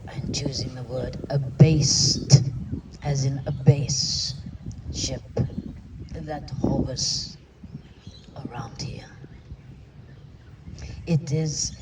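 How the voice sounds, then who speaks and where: Very slow, whispery spiritual speaker. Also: mid-range voice, steady tone. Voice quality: often drops into a breathy whisper. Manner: formal, archaic phrasing. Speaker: spiritual teacher addressing a group. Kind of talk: slow channeled spiritual message. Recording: distant mic with clear background noise.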